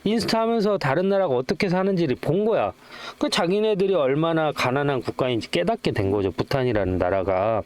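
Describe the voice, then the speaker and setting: A man's voice: fairly high pitch, expressive, brisk, hardly any pauses. A middle-aged man giving casual, off-the-cuff stock commentary in a chatty, rambling way, often posing questions and answering them himself. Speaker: stock channel host.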